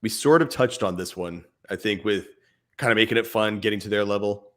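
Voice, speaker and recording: Male co-host, low voice, casual and animated, laughs easily. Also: brisk. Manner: animated, acts out little scenes with his kid, laughs often. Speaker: podcast co-host. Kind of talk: casual parenting advice chat.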